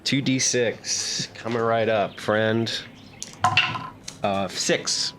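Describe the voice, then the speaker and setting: A man's voice: mid-range voice, fairly even intonation, drawn-out words, a bit bright, slight background noise. A man playing a tabletop role-playing game, improvising in-character talk and narration among friends.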